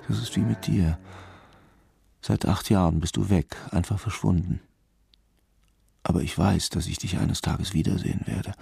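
An actor playing a brooding, fatalistic police detective, confiding ominously in a radio crime drama. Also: male voice, low voice, vocal fry, dark tone, exaggerated voice. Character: brooding, fatalistic male police detective haunted by lost love.

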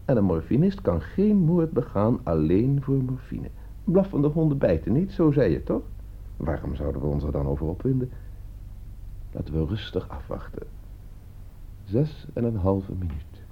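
Cool, gravelly schemer in radio drama. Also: male voice, lowish voice, sing-song, exaggerated voice. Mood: taunting, calm. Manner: composed, deliberate, provocative. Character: cool, calculating man staging a deadly experiment. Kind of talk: radio play acting. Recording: dull, muffled old radio play recording.

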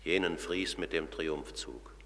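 A solemn radio-play narrator. His voice is deep yet bright and forceful, declaiming each phrase deliberately, with a long hall reverb behind it. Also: steady tone. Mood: declamatory. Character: solemn narrator of the trial of the dead. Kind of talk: dramatic scripted narration, German radio play.

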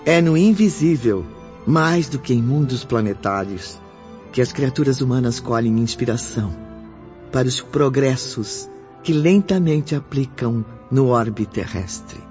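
A man's voice: medium pitch, lively intonation, measured pace, a little mellow. A man with a Brazilian accent narrating a scripted radio drama in a solemn, declamatory way. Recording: dull lo-fi recording, faint music.

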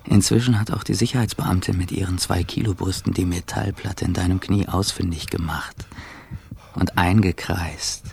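Tiefer, knarziger, verbitterter Ex-Sportler. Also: male voice, low-pitched, fairly even intonation, normal speed, darkish tone, slight background noise. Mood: sardonic, brooding. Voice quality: gravelly, husky, breathy. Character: bitter injured ex-athlete man, seething, self-observing. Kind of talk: radio drama inner monologue in second person.

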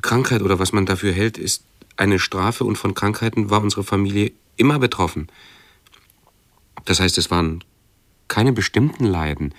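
An actor in a radio drama playing an anxious, pedantic hypochondriac who speaks in a halting, brooding, fussily precise way. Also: male voice, fairly low pitch, no room sound, close to an everyday voice. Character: anxious, pedantic male hypochondriac with obsessive self-observation. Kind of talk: radio drama monologue and dialogue.

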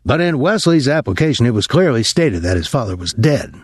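A man voicing a nervous, apologetic older military academy colonel in an audiobook, speaking formally and haltingly with some embarrassment. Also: mid-range voice, sing-song, husky, voice pushed up. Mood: formal, embarrassed.

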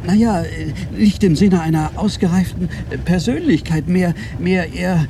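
A man's voice: sits high, moderate pace. An actor playing a flustered, agitated would-be playwright who stammers and repeats his words in a German radio play. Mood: agitated, explaining. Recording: noisy digitized radio cassette.

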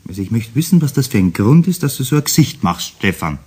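A man's voice: medium pitch, big pitch swings, fast, darkish tone, slightly rough. A man playing a stern but well-meaning, condescending middle-aged father in a German children's radio play. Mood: disapproving.

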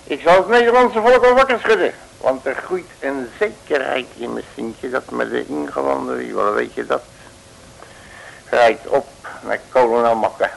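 An actor playing a boastful, bumbling male detective, blustering and shouting in a 1950s radio play. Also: medium pitch, animated, moderate pace, vocal fry, low-quality recording. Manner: blustering, with made-up curses and sudden shouts.

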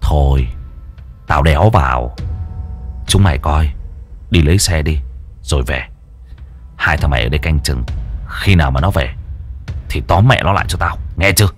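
A man voicing a gruff male loan-shark gang boss who barks orders and swears. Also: very deep voice, expressive, normal speed, pauses often, faint music behind, pitched below the performer's own voice. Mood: bossy.

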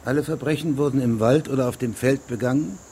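An actor playing a formal, persistent investigating judge who questions witnesses precisely in a German radio drama. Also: male voice, mid-range voice, quick pace, darkish tone, a little hiss or hum, close to an everyday voice. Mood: critical, insistent.

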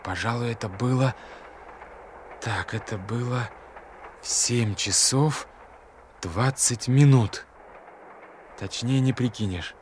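An actor playing an anxious, self-doubting young gentleman in a detective audio play, stammering, muttering and repeating himself. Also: mid-range voice, takes it slowly, long pauses, a little hiss or hum, natural voice. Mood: worried musing. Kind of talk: audio drama acting.

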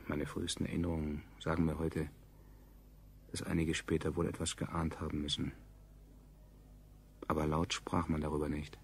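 An actor playing a grave chronicler, narrating a radio play in a measured, faintly ironic way. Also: male voice, rumbling low, monotone, slight background noise. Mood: sober recounting. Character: grave male chronicler, a survivor recalling the state.

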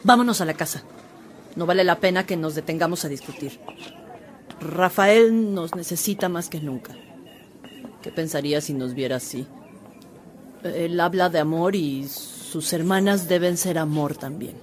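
Radio drama sister acted by a woman: lowish voice, earnest, devout, protective, over clear ambient noise. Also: normal speed, long pauses, close to an everyday voice. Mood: calming, firm. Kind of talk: Spanish-language radio drama acting.

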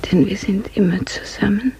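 An actress playing a grief-numbed, dreamy young woman in a radio drama, breathy and half-whispered, with a trance-like manner. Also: mid-range voice, mellow, a little hiss or hum, lightly acted. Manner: slow, trance-like. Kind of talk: 1950s radio drama acting.

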